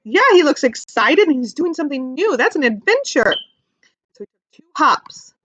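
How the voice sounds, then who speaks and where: A woman teaching through an interactive picture-book read-aloud for young children, animated, asking questions and explaining things simply. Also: high voice, moderate pace, lo-fi sound.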